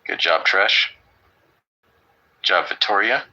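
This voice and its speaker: A man with a low, deep voice leads an online English class in an upbeat, teasing way. His tone is bright and forceful. The call audio comes through muffled. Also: slow pace. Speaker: English teacher.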